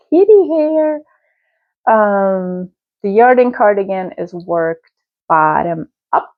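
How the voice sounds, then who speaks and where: Woman host, slow and chatty, soft dark tone, lo-fi dry home recording. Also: mid-range voice, no echo. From Europe. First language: Czech. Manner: slow, unhurried, chatty with small self-corrections. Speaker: knitting podcast host. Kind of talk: casual show-and-tell chat. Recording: slightly dull, dry lo-fi sound.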